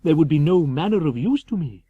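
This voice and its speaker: A man's voice: fairly high pitch, animated, brisk, slightly rough, dry recording, phone-quality sound, voice pushed up. A man voicing an agitated, cunning asylum madman, superior and evasive, in a theatrical, erratic and insistent manner for an audiobook. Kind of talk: dramatised audiobook character voice.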